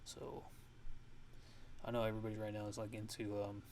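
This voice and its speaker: A man talks through a music production tutorial in a fairly low, gravelly voice. His delivery is halting and laid-back, like a casual ASMR walkthrough, and his intonation stays on the flat side. Clear background noise sits behind him on a home recording.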